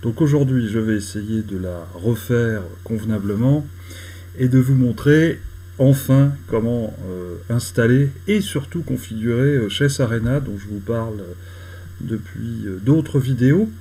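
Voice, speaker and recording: Guy explaining a software install step by step, lots of ums, mid-pitched. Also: a little slow, husky. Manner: hesitant, many "euh" fillers, chatty and apologetic. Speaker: tutorial host. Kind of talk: screen-recorded software tutorial.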